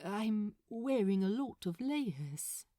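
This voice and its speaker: A woman voicing a polite, bookish, gentle man with a proper, hesitant manner in fan fiction dialogue. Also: mid-range voice, animated, slow pace, dry recording, clean studio sound.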